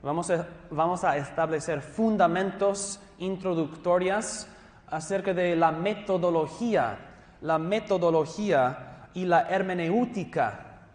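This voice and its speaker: A man with an American English accent teaches a theology class, his voice sitting a little high. He is friendly and self-deprecating, often stopping to restart a word. The sound rings with the long reverb of a large hall. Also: unhurried, darkish tone. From Southern California, USA. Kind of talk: classroom lecture.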